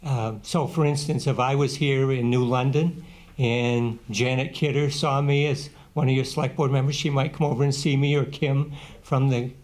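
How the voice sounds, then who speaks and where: Man, 70s, former councilor, plain-spoken, steady, mid pitch, table mic. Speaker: political candidate, former councilor. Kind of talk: forum closing statement. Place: small meeting room, seated at a table. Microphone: table microphone on a stand.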